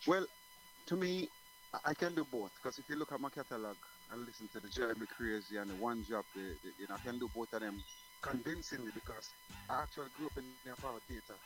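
A man's voice: medium pitch, huge pitch swings, fast, a bit bright, faint music behind, low-quality recording. A middle-aged man from Jamaica, a guest singer talking casually in an interview, rambling with many restarts.

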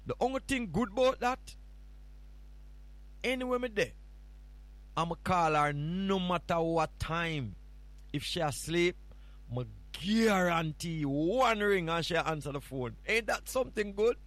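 A man's voice: sits high, lively intonation, measured pace, a bit bright, no room sound. A man with a Jamaican accent, filling in as a radio host and chatting casually on a morning show in a playful, rambling, teasing way.

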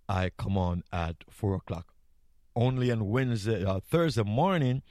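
A man's voice: lowish voice, no echo, studio quality. A man with a Jamaican accent, sitting in as a morning radio host, chatting jovially with chuckles and repeated greetings. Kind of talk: casual morning radio chat.

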